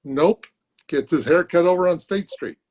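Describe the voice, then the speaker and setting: A man's voice: fairly high pitch, normal speed, darkish tone, lo-fi sound, faint music behind, natural voice. A man playing a folksy, wisecracking, stubborn barber in an old-time radio comedy, recorded at home over a video call. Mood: wry. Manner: folksy, colloquial, chuckling drollery.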